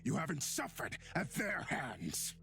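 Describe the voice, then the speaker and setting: Slow, sinister male demon. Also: fairly high pitch, huge pitch swings, gravelly, pushed and bright, exaggerated voice. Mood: bitter, taunting. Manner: theatrical, taunting, drawn-out delivery. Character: sinister centuries-old demon possessing a young man, bitter and mocking. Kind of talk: visual novel voice acting. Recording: music behind the voice.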